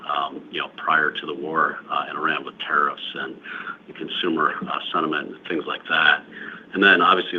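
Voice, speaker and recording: Very deep-voiced male executive on a call. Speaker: company executive answering analysts. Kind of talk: earnings call question-and-answer. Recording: dull lo-fi call audio, faint noise.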